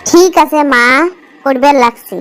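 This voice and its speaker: A woman's voice: sits high, unhurried. A woman voicing a plaintive young village stepdaughter in a cartoon story, with a northern Bangladeshi accent. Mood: dutiful. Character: put-upon young village stepdaughter, dutiful but plaintive. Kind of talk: amateur cartoon story voice acting.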